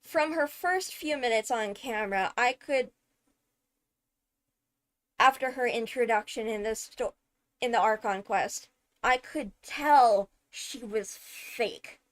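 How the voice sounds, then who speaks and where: Woman doing fan commentary, highish voice, really slow and dramatic, lots of emphatic pauses. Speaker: video creator analysing a game character. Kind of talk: enthusiastic fan commentary, thinking aloud.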